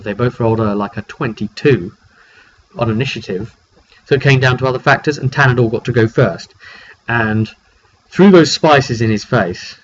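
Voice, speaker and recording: A man giving an informal, dramatic recap of a tabletop roleplaying session as game master, telling it like an animated story. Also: medium pitch. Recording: dull lo-fi mic with background noise.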